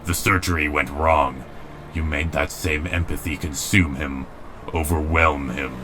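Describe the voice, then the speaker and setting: An actor playing a weary, principled robot rebel leader in a comic dub, speaking gravely and formally. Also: male voice, extremely low pitch, fairly even intonation, a little slow, noisy background. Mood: indignant. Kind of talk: dramatic comic dub acting.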